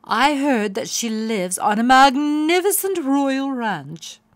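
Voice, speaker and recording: A woman voicing Bunbun, a jealous, bossy pony, loud and scornful. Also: high voice, animated, takes it slowly, no room sound, voice pushed up. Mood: gossipy.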